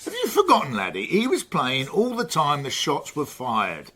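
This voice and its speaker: An actor playing a grumpy, sarcastic male London chief inspector in a radio drama, with a gruff, blunt and colloquial delivery. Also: fairly high pitch, huge pitch swings, normal speed, fairly bright tone.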